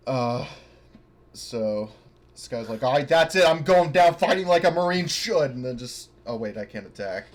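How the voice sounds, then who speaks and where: A man chatting casually as a tabletop game player, sweary and expressive. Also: medium pitch, moderate pace.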